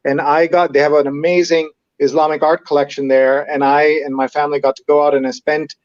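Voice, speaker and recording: A man's voice: a little high, little pitch movement, fairly quick, darkish tone, no echo, lo-fi sound. A man hosting a live read-along, chatting enthusiastically and hopping between asides as he reads out and comments. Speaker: host of a live newspaper read-along show.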